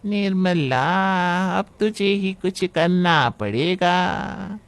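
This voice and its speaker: A man voicing a scheming, sharp-tongued middle-aged aunt in a cartoon family story, with theatrical, drawn-out, needling delivery. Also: high-pitched, smooth, exaggerated voice.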